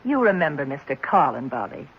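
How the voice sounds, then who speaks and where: A woman's voice: sits low, big pitch swings, a little fast, slightly rough, heavily acted. An actress playing a stern, matter-of-fact woman who runs an orphanage in a 1970s radio drama. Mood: brisk. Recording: muffled, noisy vintage radio recording.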